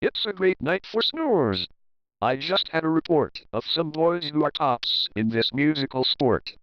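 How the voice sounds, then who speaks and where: A man's voice: on the high side, smooth, no echo, dull audio. A man narrating a children's picture book as a readalong, reading rhyming verse expressively and rhythmically. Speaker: storybook narrator.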